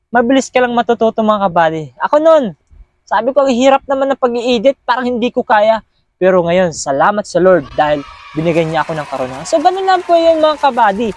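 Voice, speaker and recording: High-pitched, animated man giving a tutorial. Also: no room sound. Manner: chatty, encouraging, repeats himself. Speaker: host of video editing tutorial. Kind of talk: casual step-by-step tutorial narration.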